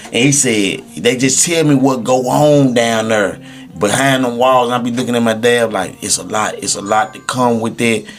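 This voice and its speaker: A man's voice: mid-range voice, fairly even intonation, normal speed, soft background music. Casual man, streetwise and emphatic. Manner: informal, emphatic, profane street slang. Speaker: podcast speaker. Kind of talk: casual podcast conversation.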